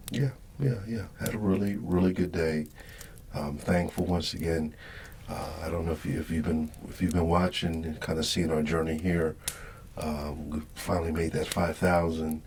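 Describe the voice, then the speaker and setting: Middle-aged man, deep voice, unhurried hesitant rambling, soft-spoken ASMR host, faint-to-clear background noise. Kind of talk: ASMR unboxing and casual rambling.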